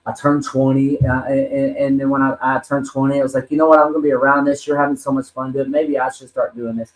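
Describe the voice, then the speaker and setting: A man's voice: medium pitch, flat delivery, fast, roomy sound, poor audio. A man in his forties telling his life story as a podcast guest, earnest and rambling, with many ums and restarts. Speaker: podcast guest, wrestler and talent manager. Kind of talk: personal story in a podcast interview.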